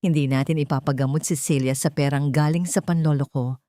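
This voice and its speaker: A woman's voice: sits low, steady tone, brisk, mellow, dry recording, clean studio sound, close to an everyday voice. A woman voicing a stern, proud, principled aunt who speaks firmly and indignantly in a dramatic audio storybook. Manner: firm, indignant.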